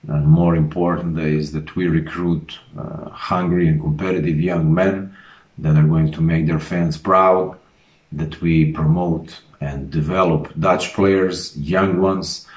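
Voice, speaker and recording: Deep-voiced man, echoey room. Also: steady tone, slow. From Croatia. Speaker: basketball head coach. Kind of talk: introductory address to fans. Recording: roomy echo.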